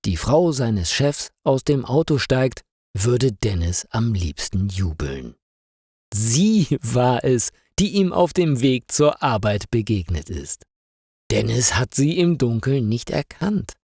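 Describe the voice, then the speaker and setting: Slow-paced male storyteller, lively intonation. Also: medium pitch, breathy edge, dry recording, studio quality. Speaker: narrator of stories for language learners. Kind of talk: slow, clearly paced scripted story narration for learners.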